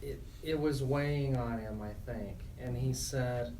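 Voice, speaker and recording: A man hosting a radio show, talking in a conversational storytelling way with a measured, halting delivery. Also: mid-range voice, mellow. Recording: distant mic, faint noise.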